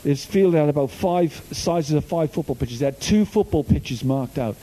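A man's voice: fairly high pitch, normal speed, warm tone. A man from Wales, a youth minister giving a casual, joking sermon full of stories. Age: older. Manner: chatty, jokey storytelling. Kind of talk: informal sermon.

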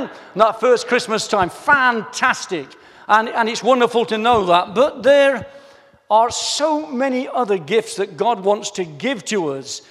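A man preaching a sermon, reading scripture slowly and then chatting eagerly to the congregation. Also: sits high. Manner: animated, enthusiastic, chatty asides to the congregation. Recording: hall reverb.

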